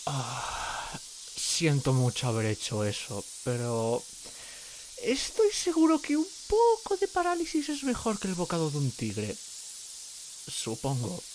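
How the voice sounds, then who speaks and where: A man playing a nervous, gentle gorgon who works as an artist, with a hesitant, flustered way of talking in an audio roleplay. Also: on the high side, wildly swooping pitch, slow pace, background noise, exaggerated voice. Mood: anxious, apologetic. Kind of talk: dramatic ASMR roleplay monologue.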